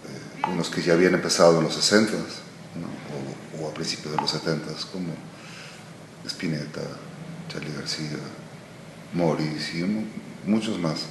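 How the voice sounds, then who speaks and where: A very low-voiced man in his sixties from Argentina, speaking in an unhurried, reflective way with frequent pauses. His words ring in a roomy space over a clear layer of background noise. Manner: slow, thoughtful, trailing off with many pauses. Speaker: musician guest. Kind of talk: relaxed interview answers. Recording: echoey room with noise.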